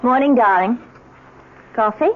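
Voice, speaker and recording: An actress playing a witty, polished middle-aged society wife with an arch, theatrical manner in old-time radio drama. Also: female voice, a little high, a little slow, a little hiss or hum, lightly acted. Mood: breezy, arch. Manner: arch, theatrical, well-spoken. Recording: tinny narrow-band old radio recording.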